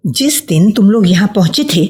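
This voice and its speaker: An actress playing a sensible homemaking woman who affectionately scolds her friend in a measured, expressive radio-play style. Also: medium pitch, lightly acted. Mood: fond, teasing. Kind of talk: Hindi radio play acting.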